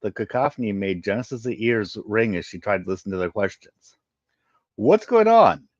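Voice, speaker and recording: Low-voiced man reading aloud, muffled and roomy. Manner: halting, stumbles over words. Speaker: reader. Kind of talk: reading a sci-fi story aloud. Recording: muffled, roomy home recording.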